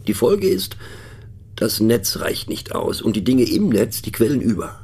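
A man narrating a satirical radio play as a pensive, mocking monologue, musing conversationally with halting, emphatic phrasing. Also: mid-range voice, very animated, darkish tone, husky. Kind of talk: scripted monologue performed as musing conversational talk.

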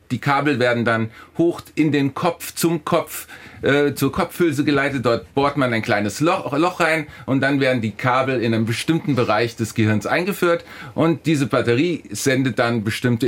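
A man speaking as an academic guest in a discussion, explaining and arguing emphatically, often restarting and repeating phrases. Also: medium pitch. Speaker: philosophy professor, guest.

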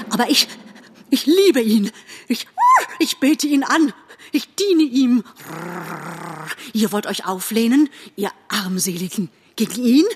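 Woman as a high, raging, devoted dog. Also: background noise. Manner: howling, raging, fervent, exalted. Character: fierce male hunting dog, fanatically devoted to his master.

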